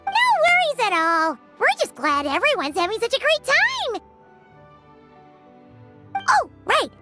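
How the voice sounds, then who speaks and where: A small floating game companion with an extremely high, childlike voice. The friendly creature speaks eagerly and swoops all over its range, with loud music behind. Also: female voice, wildly swooping pitch, a little slow, full character voice. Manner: exclamatory, eager. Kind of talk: anime video game voice acting.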